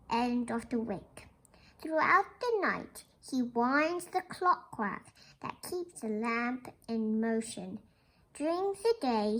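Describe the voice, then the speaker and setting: A woman's voice: on the high side, low-quality recording. A young girl reads a children's picture book aloud, explaining it and asking questions like a little teacher. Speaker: young storybook reader.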